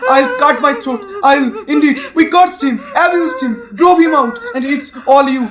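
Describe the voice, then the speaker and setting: A man's voice: very high voice, a little slow, roomy sound, crowd noise. A man with an Indian accent playing an exasperated, blustering old father in an amateur audio drama. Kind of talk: amateur student stage-play audio drama.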